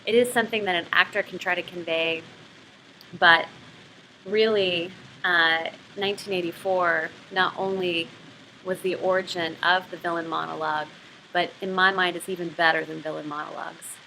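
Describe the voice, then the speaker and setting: A woman teaching a writing lesson, explaining and reading passages aloud in an expressive way. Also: on the low side, normal speed, a little hiss or hum, rough recording. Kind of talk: literary craft lesson with read-aloud passages.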